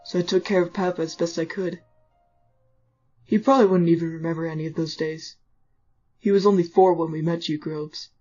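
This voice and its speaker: Woman voicing a low-toned protective teenage skeleton brother. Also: steady tone, normal speed, pauses often, mellow, natural voice. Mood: wistful. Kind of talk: fan comic dub, narration and dialogue. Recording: muffled lo-fi audio over music.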